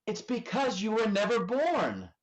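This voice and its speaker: Whimsical ancient angel, played by a woman, very expressive. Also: fairly high pitch, measured pace, slight background noise. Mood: earnest, solemn. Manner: quaint, earnest, slightly hesitant. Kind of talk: community theatre radio play acting. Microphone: video call.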